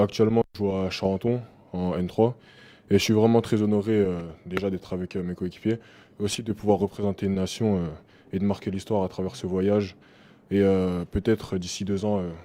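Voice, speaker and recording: A man's voice: sits low, little pitch movement, a little fast, warm tone. A man aged twenty introducing himself as a basketball player, earnest with some fillers. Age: 20s. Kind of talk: self-introduction.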